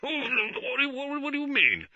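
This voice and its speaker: A man plays a self-important middle-aged gentleman who splutters in outraged indignation. His voice jumps high and sounds sharp and forceful. The recording is dry and a little dull. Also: wildly swooping pitch, normal speed, slightly rough, no room sound, exaggerated voice. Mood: blustering. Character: pompous middle-aged man, blustering and easily angered.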